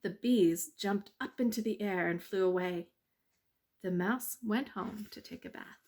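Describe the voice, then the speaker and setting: A woman narrating a children's bedtime story in a measured, expressive read-aloud manner. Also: mid-range voice.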